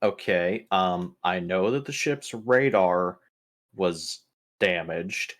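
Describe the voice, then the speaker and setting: A man's voice: sits low, slow, a bit bright. A man playing a tabletop roleplaying game, talking casually in character with blunt questions and remarks.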